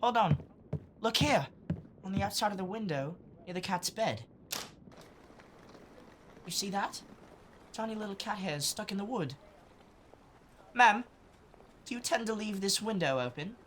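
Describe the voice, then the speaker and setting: A woman's voice: mid-range voice, animated, measured pace, pauses often, slight background noise, close to an everyday voice. A woman playing a shrewd, earnest boy who commands a band of street children in an audio drama. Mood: focused, commanding. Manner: commanding, matter-of-fact. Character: young boy leading street urchins, bossy, earnest, shrewd. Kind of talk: scripted audio drama acting.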